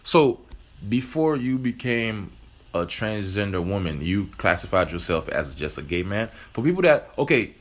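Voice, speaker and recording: A man's voice: lowish voice, very animated, normal speed. A male host in casual interview chat, who cuts in often with "hold on" and "wait.". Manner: interrupts, says "hold on" a lot.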